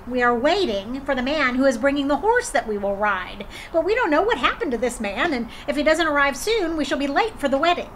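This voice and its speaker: A woman's voice: high voice, very animated, a little fast, hardly any pauses, slight background noise, poor audio. A woman voicing a glum, fretful father of a bridegroom in a children's folktale. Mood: worried.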